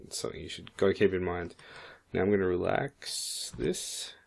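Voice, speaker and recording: A man with a croaky voice, casually thinking aloud as he walks through a 3D modeling software tutorial. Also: low-pitched, moderate pace. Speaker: 3D modeling instructor. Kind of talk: screen-recorded software tutorial.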